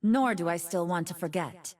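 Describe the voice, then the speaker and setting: A woman voicing a young nine-tailed fox woman in a video game, soft-voiced, friendly and wise, with playful teasing. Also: lowish voice, animated, moderate pace, far from the mic. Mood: gentle, wistful. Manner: friendly, playful, dramatic delivery. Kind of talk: video game character voice lines.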